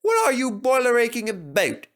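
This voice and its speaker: Man playing a proud, indignant high-voiced engine. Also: wildly swooping pitch, normal speed, heavily acted. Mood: chiding. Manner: emphatic, indignant. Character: proud, indignant male tank engine, boastful of his railway.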